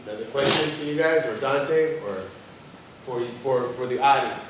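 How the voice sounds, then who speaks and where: Man, moderator, rambling, mid pitch, heavy echo. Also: moderate pace. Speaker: discussion moderator. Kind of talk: informal audience Q&A. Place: large echoey indoor arts venue. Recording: very echoey hall, distant mic, noisy.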